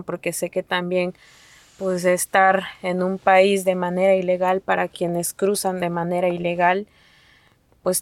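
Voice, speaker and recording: Mexican woman, nahuatl is her first language, halting and full of fillers, low flat voice. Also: no echo. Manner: halting, many fillers and restarts. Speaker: asylum seeker. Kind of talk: testimony to an interviewer.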